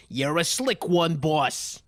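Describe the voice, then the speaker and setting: A man's voice: on the high side, pushed and bright, dry recording, clean studio sound, exaggerated voice. A man voicing a sneaky, smirking crook's henchman in a cartoon comic dub, sly and mock-folksy. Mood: eager, sly.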